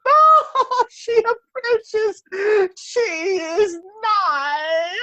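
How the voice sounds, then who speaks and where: A woman playing a fussy, genial, pious elderly clergyman in a comic play reading, with an effusive, deliberate manner. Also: very high-pitched, pushed and bright, no room sound, lo-fi sound, full character voice. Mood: effusive, delighted.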